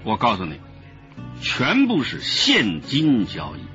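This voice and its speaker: An actor playing a jovial, smooth-talking boss who haggles effusively in a Chinese radio drama, peppered with interjections. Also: male voice, medium pitch, huge pitch swings, slow, pushed and bright, exaggerated voice. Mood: brisk, persuasive. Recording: dull radio drama audio with background music.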